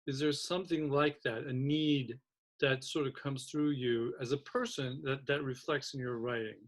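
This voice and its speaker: A man's voice: mid-range voice, steady tone, normal speed. A man raised in Turkey, hosting a casual conversation among writing teachers, speaking thoughtfully and stopping often to restart. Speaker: host of faculty round table.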